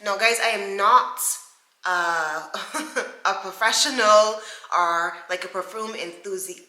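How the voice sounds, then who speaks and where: A young Barbadian woman talks casually to camera with a bright, lively tone, rambling and calling her viewers guys. Her speech carries a Barbadian accent. The room is echoey. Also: mid-range voice, slow pace. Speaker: beauty vlogger.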